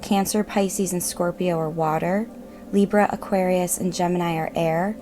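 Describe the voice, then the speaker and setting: A woman narrating a guided meditation in a gentle, measured, reassuring manner. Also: on the low side, steady tone. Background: meditative music.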